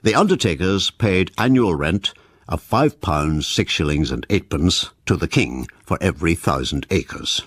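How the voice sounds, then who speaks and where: Man narrating a history doc, really deep voice, swoops around a lot. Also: lively intonation, moderate pace, slightly rough, no echo, studio quality. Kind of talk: scripted documentary narration.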